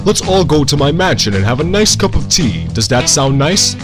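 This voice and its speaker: A man's voice: a little high, sing-song, normal speed, music in the background. A man voicing a pompous, theatrically appalled official in an amateur comedy dub of a video game. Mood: self-important. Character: pompous male official arresting pirates.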